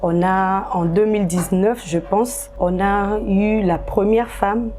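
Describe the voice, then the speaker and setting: A woman's voice: mid-range voice, fairly even intonation, a little mellow, a little hiss or hum. Articulate woman. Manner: articulate, measured, persuasive. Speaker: guest. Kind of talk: interview answers.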